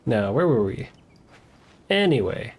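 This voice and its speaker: Very low-voiced woman game streamer. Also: big pitch swings, measured pace, a little mellow, breathy edge. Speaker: streamer playing a video game. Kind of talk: casual live game commentary.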